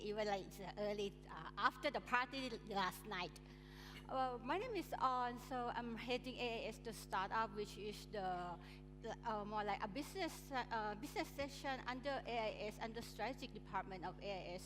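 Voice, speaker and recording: A woman introducing her work on a conference panel, speaking hesitantly with frequent uhs. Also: fairly high pitch. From Thailand. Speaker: panelist, startup unit head. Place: large conference hall on stage. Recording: reverberant hall, music playing.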